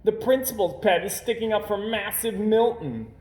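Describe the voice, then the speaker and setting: A man voicing a big, swaggering bully bear cub for a children's storybook, mocking in a drawn-out singsong. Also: sits high, darkish tone, pitched above the performer's own voice, lightly acted. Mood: sneering. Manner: jeering singsong, drawn-out words. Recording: roomy, echoing home recording.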